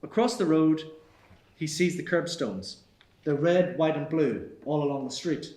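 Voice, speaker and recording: A man's voice: a little high, unhurried, darkish tone. A man reading stage directions as narrator at a live screenplay table read. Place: theatre stage. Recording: roomy live theatre stage.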